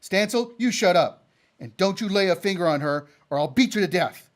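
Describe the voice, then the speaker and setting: A man's voice: sits high, lively intonation, moderate pace. A man playing an earnest, stubborn cowboy in love, plainspoken and rustic, in a radio Western drama. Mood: defiant. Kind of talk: old-time radio Western acting.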